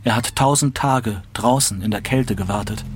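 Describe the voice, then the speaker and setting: An actor playing a solemn announcer of a bleak stage play, reading scripted radio drama narration. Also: male voice, fairly low pitch, moderate pace, background noise, lightly acted. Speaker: narrator reading prologue and cast list.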